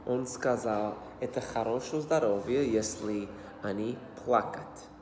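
A man in his thirties with an American accent, practicing a new language aloud at his desk, repeating words slowly and haltingly. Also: mid-range voice, a little mellow, slight background noise. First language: English. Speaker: language learner. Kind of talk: self-study vlog, reading phrases aloud. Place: small room indoors. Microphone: laptop webcam at desk. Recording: roomy echo with long reverb.

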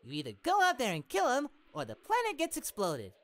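Man acting a cheerful, animated dim-witted hero. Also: very high-pitched, a little fast, heavy background noise, heavily acted. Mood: reassuring. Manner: naive, breezy, casual. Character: cheerful, dim, carefree adult male hero father. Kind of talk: parody anime dub acting.

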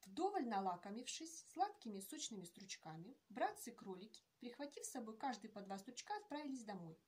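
Woman in her 40s reading a kids story, soft and steady, slightly husky high voice, webcam. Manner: gentle, measured storytelling. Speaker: author reading her own tale. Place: indoor room with painted canvases.

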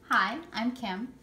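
A woman's voice: fairly high pitch, background noise. A woman in her thirties chatting playfully in a home kitchen, filling her talk with "you know" and laughs. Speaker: cooking helper and mock guest. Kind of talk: playful casual kitchen chat. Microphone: camera on counter a few feet away. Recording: echoey kitchen with clatter.

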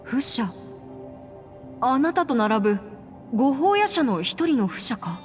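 A woman acting in a Japanese game as a composed, proud young noblewoman adventurer, speaking in a measured, firm and formal way. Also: high-pitched, sing-song. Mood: inquiring, earnest. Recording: long reverb, faint music behind.

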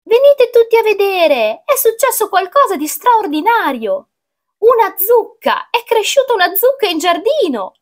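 A woman voicing an excited, exclamatory mother in a storybook. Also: very high-pitched, unhurried, pitched above the performer's own voice, heavily acted. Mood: amazed. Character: excited mother calling her family.

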